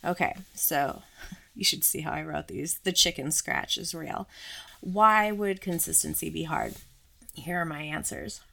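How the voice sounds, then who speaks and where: A woman hosting her own podcast in a casual, chatty way, sick and slightly hoarse, often laughing at herself. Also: low-pitched, measured pace, pushed and bright. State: sick, voice a little off. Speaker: podcast host. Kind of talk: casual solo podcast chat.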